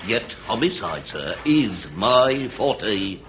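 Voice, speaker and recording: A pompous British inspector of the Victorian age, blustering in florid phrases and hesitant uhs. His pitch swings widely as he holds forth in a comic radio play, over faint background noise. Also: big pitch swings, slow, fairly bright tone, heavily acted. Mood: grandly ominous. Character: pompous, irascible male Victorian police inspector. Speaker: actor. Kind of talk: comic period radio drama acting.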